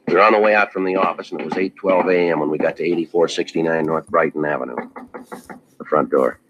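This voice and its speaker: An actor playing a terse, matter-of-fact police detective in a deadpan 1950s radio crime drama style. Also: male voice, a bass voice, fast, muffled recording. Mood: deadpan, businesslike. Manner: clipped, deadpan. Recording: old radio recording with faint noise, clicks.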